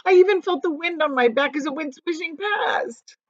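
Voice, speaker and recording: A woman's voice: high voice, dry recording, voice pushed up, natural voice. A woman voicing a timid, miserable earthworm who wails and whines in a children's storybook, recorded muffled in a room. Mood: fearful, wailing. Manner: wailing, drawn-out whining. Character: timid, miserable male earthworm, wailing complaints. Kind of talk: children's storybook read-aloud.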